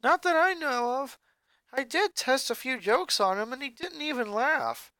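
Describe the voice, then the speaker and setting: Reader doing a jokey teenage girl comedian, higher voice, louder and brighter, lively, laughs at own puns. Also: measured pace, dry recording, exaggerated voice. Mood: joking. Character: upbeat teen girl comedian, loves puns.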